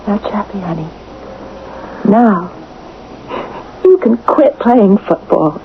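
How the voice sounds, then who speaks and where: A woman's voice: mid-range voice, lively intonation, slow pace. An actress playing a devoted, reproachful young woman in a 1970s radio drama, earnest and emotional. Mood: tender, coaxing. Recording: tinny, noisy vintage radio broadcast.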